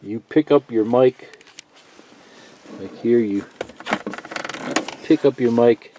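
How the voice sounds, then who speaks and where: Slow, very dark-voiced man explaining casually. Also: mid-range voice. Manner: halting, thinking out loud, folksy explanations. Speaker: hobbyist instructor. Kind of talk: casual, unscripted how-to tutorial, reading bits of instructions aloud. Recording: muffled lo-fi sound, roomy echo.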